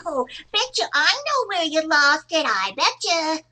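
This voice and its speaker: Chirpy, high-voiced little girl. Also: big pitch swings, unhurried, bright, forceful tone, heavily acted. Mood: eager, cheeky. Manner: repeats "I betcha" as a verbal tic. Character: chirpy, pestering little girl neighbour, cheeky and talkative. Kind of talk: old-time radio comedy acting.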